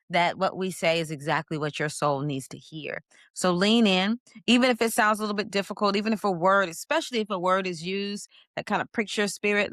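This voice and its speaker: A woman hosting an interview podcast, speaking with energetic, emphatic, preacher-like emphasis. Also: medium pitch, no room sound, studio quality. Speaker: podcast host.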